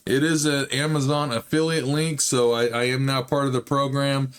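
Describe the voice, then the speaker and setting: Man, slightly hoarse, mid-pitched, casual build vlog host, rambling with fillers. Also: little pitch movement, normal speed. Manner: easygoing, rambling, frequent "uh" fillers. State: slightly hoarse, recovering from a chest illness. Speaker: hobby model-building channel host.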